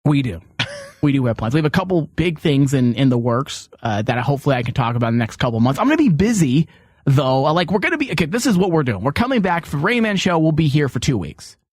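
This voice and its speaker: A man's voice: on the high side, big pitch swings, quick pace, husky, no room sound. A man hosting a podcast in casual, unscripted chat, talking in a rambling, conversational way. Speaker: podcast host.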